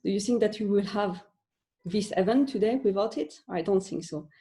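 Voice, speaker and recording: A woman's voice: medium pitch, normal speed, mellow. A woman from France with a French accent, presenting a talk from home for an online webinar, with many fillers and restarts. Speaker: conference speaker.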